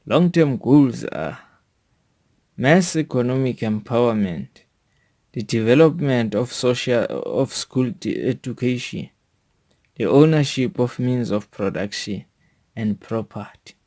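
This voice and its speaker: Man, South African accent, slow pace, medium pitch, formal scripted delivery, deliberate phrasing. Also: expressive, dark tone. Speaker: podcast host. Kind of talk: scripted educational monologue, read out.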